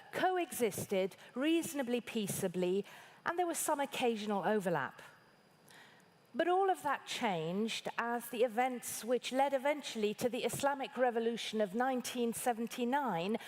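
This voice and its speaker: A woman from Iran speaks as a bishop giving a formal keynote. Her voice sits fairly high and her pace is slow and measured. She delivers prepared sentences in an articulate, orderly way.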